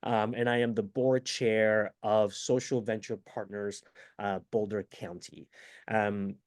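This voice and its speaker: A man's voice: on the low side, slow. Upbeat man leading an online workshop. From Venezuela. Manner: upbeat, animated, interactive. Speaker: workshop facilitator. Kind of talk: online training presentation. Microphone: video call.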